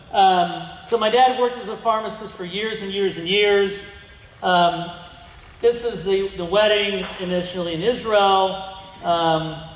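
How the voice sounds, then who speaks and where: A middle-aged man giving a rambling museum talk about his family, full of ums and restarts. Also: high-pitched. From United States. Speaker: guest speaker. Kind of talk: museum lecture with slides. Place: museum lecture room. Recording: echoey hall with long reverb.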